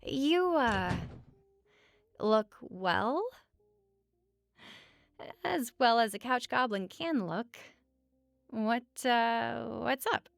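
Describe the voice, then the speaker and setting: A woman playing a sarcastic but caring roommate in a conversational audio roleplay, talking casually with wry teasing and hesitant pauses. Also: a little high, lively intonation, creaky, no echo, full character voice. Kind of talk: conversational comfort audio roleplay at regular speaking volume.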